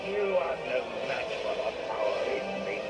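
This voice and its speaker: An actor playing a wrathful, gloating old evil sorcerer emperor in a sci-fi film, menacing and grandiose. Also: male voice, medium pitch, very animated, normal speed, lightly acted. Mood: gloating fury. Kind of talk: theatrical sci-fi film villain acting. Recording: dull, roomy sound under loud music. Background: loud music.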